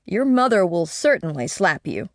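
A woman voicing a polite, gently amused American gentleman living abroad. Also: lowish voice, big pitch swings, moderate pace, no room sound, studio quality.

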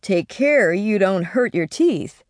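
A woman voicing a polite, gently amused American gentleman living abroad. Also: fairly low pitch, animated, measured pace, no room sound.

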